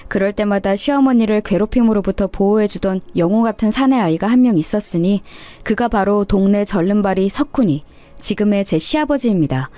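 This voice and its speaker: A woman's voice: mid-range voice, animated, a little fast, mellow, no echo. A woman reading a scripted first-person story as a narrator.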